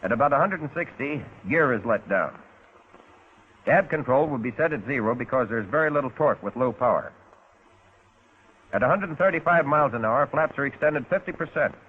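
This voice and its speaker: An American man narrating a 1940s instructional film in a measured, precise, instructive way, as a flight instructor would. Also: medium pitch, expressive, husky. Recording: tinny narrow-band 1940s film soundtrack.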